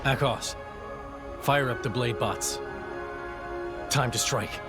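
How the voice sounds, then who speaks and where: A man's voice: mid-range voice, takes it slowly, close to an everyday voice. A man acting a terse, grave leader of villains in a video game cutscene. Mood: commanding. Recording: loud music behind the voice.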